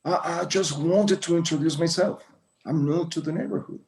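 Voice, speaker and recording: A man's voice: on the high side, normal speed, vocal fry, a little mellow. A man playing a proud, courteous old Mexican-American rancher in a screenplay table read, speaking formally. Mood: polite. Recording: echoey room.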